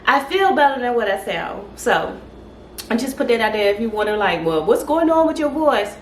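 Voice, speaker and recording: A woman hosting a casual home cooking vlog, her voice stuffy and congested, chatting in an unhurried way with lots of fillers. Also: on the high side, very animated, a little hiss or hum, poor audio. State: stuffy, congested voice after a sore throat. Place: home kitchen.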